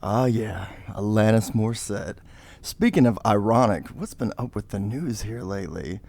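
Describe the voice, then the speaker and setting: A man playing a slack, rambling late-night radio DJ in an audio comedy, with a creaky voice. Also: lowish voice, moderate pace, a little mellow. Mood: laid-back. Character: laid-back male late-night freak radio show DJ.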